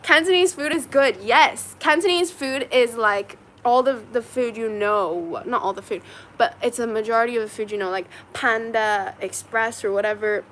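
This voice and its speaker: A woman's voice: on the high side, normal speed, fairly bright tone, a little hiss or hum. A young woman from China chatting casually and rambling, full of "like" and "um", as she answers viewer comments. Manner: rambling, heavy use of "like" and "um". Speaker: streamer.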